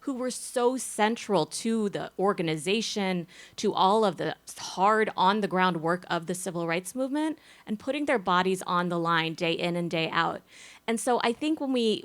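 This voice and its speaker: A woman sociologist speaking articulately and with emphasis on a panel. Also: mid-range voice. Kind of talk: panel discussion.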